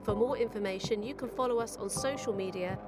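A woman's voice: a little high, fast talker, music in the background. A woman reading a scripted podcast trailer promo as the announcer.

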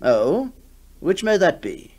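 A man acts out an imperious country squire for a 1960s radio play. He is hearty and impatient, with a commanding manner and pitch that swings freely. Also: huge pitch swings, slow, darkish tone, exaggerated voice. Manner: commanding, clipped, dismissive. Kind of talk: 1960s radio drama acting.

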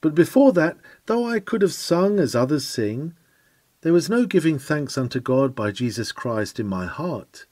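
Solemn man reading a sermon, lively pitch. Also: moderate pace, no echo. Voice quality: solemn, declamatory, old-fashioned phrasing. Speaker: reader of historic sermons.

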